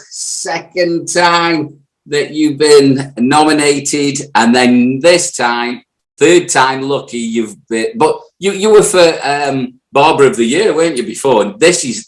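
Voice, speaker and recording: A man's voice: on the high side, roomy sound. British man hosting a casual chat show. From United Kingdom. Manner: jokey, teasing banter. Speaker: podcast host.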